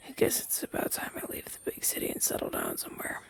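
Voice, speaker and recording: A man's voice: fast, fairly bright tone, whispered, close to an everyday voice. A young man playing a chatty, down-on-his-luck crow demon, once a witch's familiar, in a hesitant, stammering roleplay audio drama. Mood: dejected.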